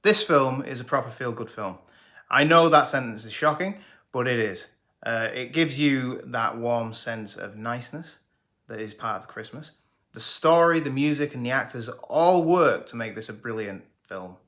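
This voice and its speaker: A man in his thirties talks through a film review with a bright, forceful voice. He jokes, teases and gets openly enthusiastic, and the delivery sounds scripted. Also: mid-range voice, moderate pace. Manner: jokey, sarcastic, enthusiastic. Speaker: movie reviewer.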